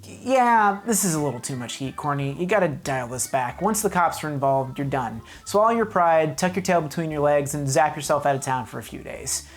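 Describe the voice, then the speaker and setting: A woman delivers scripted comic review narration. Her voice is low for a woman, with lively swings in pitch and emphatic, mock-serious phrasing. Soft music plays underneath. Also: moderate pace, few pauses. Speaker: video reviewer, host.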